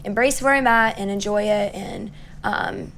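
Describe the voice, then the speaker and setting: A young woman with a raspy voice, a singer-songwriter chatting upbeat as an interview guest, full of likes and ums. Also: mid-range voice, unhurried, fairly bright tone, slight background noise. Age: young adult. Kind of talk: casual interview chat.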